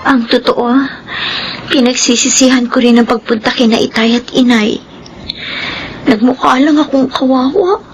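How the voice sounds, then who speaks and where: High, plaintive young Filipina played by an actress. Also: female voice, normal speed, pauses often, close to an everyday voice, rough recording. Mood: sad, hurt. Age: young adult. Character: dutiful, wounded, earnest young daughter hoping for college. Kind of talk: Filipino radio drama acting.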